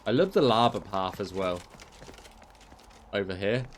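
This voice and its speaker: A man's voice: on the low side, lively intonation, measured pace, slight background noise. A man, a game mod developer chatting casually on a livestream, rambling and answering chat with many fillers, his voice getting tired. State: losing his voice.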